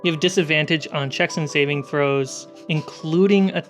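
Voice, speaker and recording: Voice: medium pitch, normal speed. A game master narrating a tabletop roleplaying game, describing scenes piece by piece. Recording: background music under the voice. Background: music.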